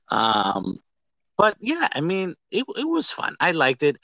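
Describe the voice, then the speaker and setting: A man's voice: high voice, huge pitch swings, takes it slowly, creaky, bright, forceful tone, dry recording. A man co-hosting a podcast, talking casually about films in a rambling way and acting out quoted lines. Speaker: podcast co-host. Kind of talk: casual movie discussion.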